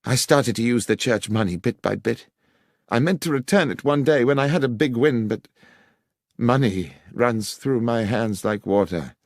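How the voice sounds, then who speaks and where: A man's voice: mid-range voice, moderate pace, dry recording, clean studio sound, pitched above the performer's own voice, close to an everyday voice. A man voicing a bitter, resigned vicar in an audiobook, a beaten gambler making his confession. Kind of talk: audiobook character acting.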